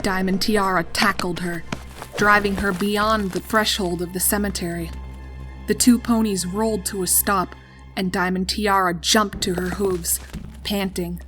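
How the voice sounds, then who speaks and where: A woman narrating a scripted horror story in a dramatic, deliberate storytelling manner. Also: mid-range voice, fairly even intonation, a bit bright, soft background music. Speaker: fan fiction narrator.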